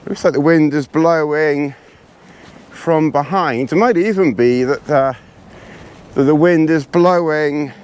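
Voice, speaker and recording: A hoarse, congested man chatting casually to camera while hiking outdoors in the hills, rambling and poking fun at himself. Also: a little high, slow pace, a little mellow. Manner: rambling, self-deprecating, chatty. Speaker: vlogger.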